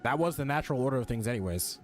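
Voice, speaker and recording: Man, game streamer, casual reactions, slangy, blunt, mid-pitched, faint music behind. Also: lively intonation, very fast.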